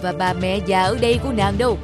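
A woman voicing a stern, threatening male thunder god in an animated fairy tale. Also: mid-range voice, fast talker, close to an everyday voice. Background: prominent music.

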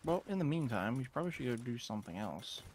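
A man's voice: medium pitch, big pitch swings, quick pace, crowd noise. A man chatting casually with his partner during co-op gameplay. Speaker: co-op gaming partner.

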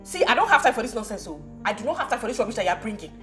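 A woman playing a sharp-tongued mocking wife. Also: on the high side, very animated. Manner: mocking, retorts fast. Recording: roomy echo, faint music.

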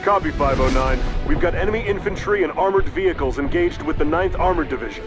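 A controlled military radio operator played by a man in a war video game. He gives clipped, businesslike messages in a fairly high voice over clear music and gunfire. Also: expressive, fast, natural voice. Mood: brisk, controlled.

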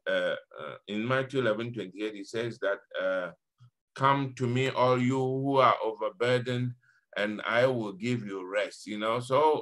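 A Ghanaian man with a bright, forceful voice at an ordinary male pitch speaks as a priest explaining scripture. His first language is Fanti, and his accent carries through clearly. He pauses and repeats words for emphasis as he talks to a small group over a video call. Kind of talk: informal scripture reflection to a group.

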